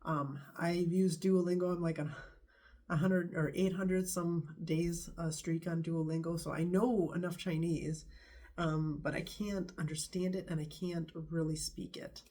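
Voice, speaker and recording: A stuffy-nosed talks to the camera in a loose, meandering vlog, stopping often to find the next thought. The voice sits in a mellow, darker register, muffled by a head cold. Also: mid-range voice, little pitch movement. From United States. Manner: rambling, hesitant, many pauses. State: stuffy nose from a bad cold. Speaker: vlogger. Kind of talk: casual talking-to-camera vlog.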